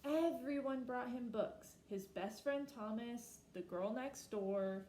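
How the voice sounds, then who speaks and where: A woman reading a children's picture book aloud expressively, slowing down for emphasis and pausing to ask her listeners questions. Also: a little high, a little mellow, reverberant, a little hiss or hum, rough recording. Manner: asks listeners reflective questions. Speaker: storybook reader.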